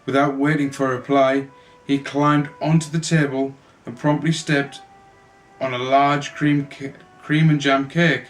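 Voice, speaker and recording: A man's voice: medium pitch, little pitch movement, a little slow, rough recording. A man narrating a children's storybook aloud as an amateur reader, now and then stumbling and correcting himself. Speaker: amateur storybook reader, a father reading for his family. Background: music.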